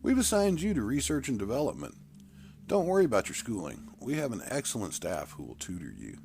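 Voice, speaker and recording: A man narrating puts on a commanding leader of an underground city for a storybook. His voice jumps up and swings in pitch, brisk and condescending but courteous. There is clear background noise. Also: wildly swooping pitch, darkish tone. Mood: pleased, firm. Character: brisk, authoritative elder brother running an underground city.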